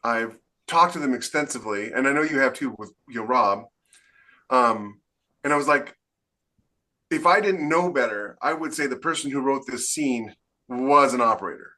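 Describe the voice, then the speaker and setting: Male interviewer, mid-pitched with a bright, forceful edge, conversational. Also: moderate pace. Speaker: podcast host. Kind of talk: casual interview chat.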